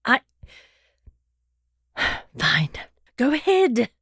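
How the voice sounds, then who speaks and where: A woman's voice: a little high, big pitch swings, very slow, sharp tone, slightly rough, no room sound, studio quality, exaggerated voice. A woman playing an ambitious, impatient immortal in a comedic audio drama, with a haughty, exasperated, articulate manner.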